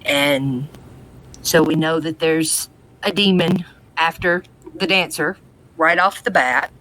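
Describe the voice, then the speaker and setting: Lower-pitched woman podcast co-host. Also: takes it slowly, fairly bright tone, poor audio. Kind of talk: casual episode recap.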